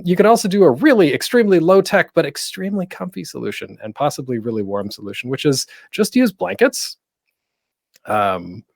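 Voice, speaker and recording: A man's voice: medium pitch, vocal fry, dry recording. A man presenting a casual, conversational tutorial as a panel host, rambling and self-deprecating with jokey, geeky asides, speaking close to the microphone. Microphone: close microphone with a pop screen.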